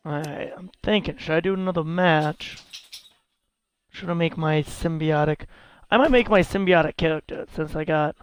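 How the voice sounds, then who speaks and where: A man commentating his own wrestling video game match in loud, excitable play-by-play, exclaiming and swearing at the big moves. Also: a little high, normal speed, smooth. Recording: loud game crowd and noise behind.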